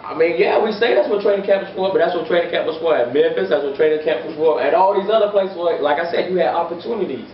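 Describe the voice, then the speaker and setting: A man's voice: on the high side, fast talker, few pauses. A young man arguing sports in casual talk, emphatic and repeating his phrases, recorded by a distant camera in a barbershop. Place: barbershop, indoors. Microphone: far camera. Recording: echoey room, distant lo-fi mic, faint hiss.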